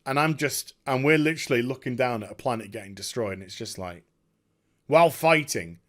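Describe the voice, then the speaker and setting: A man talking casually and enthusiastically as a gaming video creator, recapping a story in an emphatic, halting, rambling way. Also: mid-range voice, fairly bright tone.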